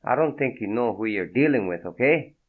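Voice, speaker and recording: A man's voice: medium pitch, animated, brisk, lightly acted. A man playing a weary, tough-talking mobster who speaks bluntly and impatiently. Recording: tinny phone-like sound.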